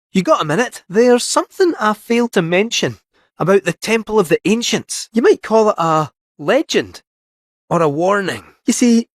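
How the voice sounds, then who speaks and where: Small robotic cat, Scottish accent, high animated pitch swings, chirpy and wily, folksy game dialogue, dry close recording. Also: male voice, no echo. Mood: earnest, cautioning. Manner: theatrical, folksy, chatty. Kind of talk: scripted video game character dialogue.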